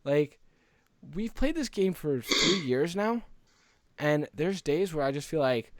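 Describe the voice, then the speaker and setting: A man's voice: on the high side, sing-song, slow pace, dry recording. Casual male podcast co-host, close dry sound. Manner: conversational, explanatory, opinionated. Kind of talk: casual podcast chat about gaming.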